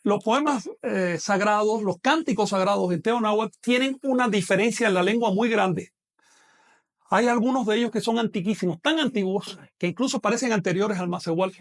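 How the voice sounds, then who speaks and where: A man's voice: high voice, moderate pace. A man with a non-Mexican Spanish accent teaching a language class online, explaining at length and checking in with his students. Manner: explanatory, addresses students directly with check-in questions. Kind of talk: online lecture.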